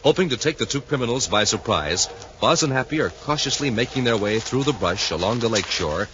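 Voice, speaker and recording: A man's voice: medium pitch, expressive, fairly quick, a bit bright. A man narrating a 1950s radio adventure serial in a suspenseful, declamatory announcer style. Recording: muffled, noisy 1950s radio recording.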